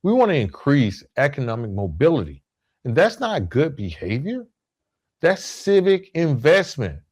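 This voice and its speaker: A man's voice: mid-range voice, wildly swooping pitch, a little slow, darkish tone, husky. A man hosting a podcast, giving a semi-scripted motivational monologue in an earnest, emphatic way with repeated phrasing for effect. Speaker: podcast host.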